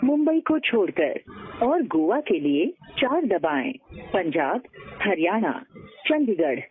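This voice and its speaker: A woman's voice: on the low side, big pitch swings, takes it slowly. A woman reading scripted automated phone menu prompts in a formal, instructional manner over a phone line. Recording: tinny band-limited phone line recording.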